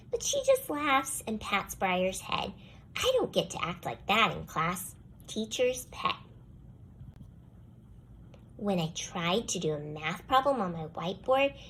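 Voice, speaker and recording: A woman plays a young schoolgirl narrating her own storybook tale about school grievances. Her delivery is expressive and put-upon, with very lively swings in pitch. There is some noise behind the voice. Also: low-quality recording. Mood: grumbling. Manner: expressive, complaining first-person storytelling. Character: jealous young schoolgirl, grudgingly softening toward the dog. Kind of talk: scripted children's storybook read-aloud.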